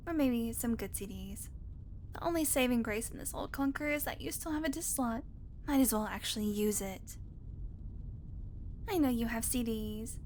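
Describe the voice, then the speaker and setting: A snarky female vampire played by a woman in an audio roleplay, with a fairly high voice. She complains theatrically and teases in a casual, sweary way. A noticeable rumble sits behind her. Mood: bossy, grumbling. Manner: sarcastic, teasing, dramatic complaining, casual swearing. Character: snarky, irreverent female vampire road-trip passenger, teasing and bored. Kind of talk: audio roleplay acting, conversational monologue to the listener.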